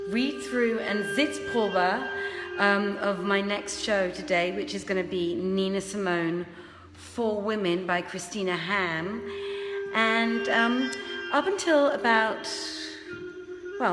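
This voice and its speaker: A woman chatting casually to live-stream viewers, halting and thinking aloud as she busies herself. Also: mid-range voice, echoey room. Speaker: theatre performer hosting a livestream reading. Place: inside a theatre building, moving between rooms. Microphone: phone.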